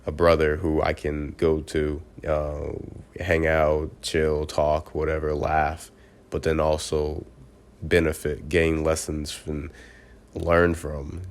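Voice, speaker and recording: A man with a congested, stuffy voice, talking earnestly to camera in a casual personal video reflection, often clipping and restarting words. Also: a bass voice. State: congested from a cold. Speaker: vlogger.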